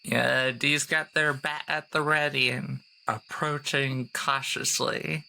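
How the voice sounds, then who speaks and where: A man chats and jokes as a player in a tabletop game. His voice is bright and fairly high for a man, with plenty of creak and an unhurried pace. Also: level tone, a little hiss or hum, low-quality recording. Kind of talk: casual improvised game banter.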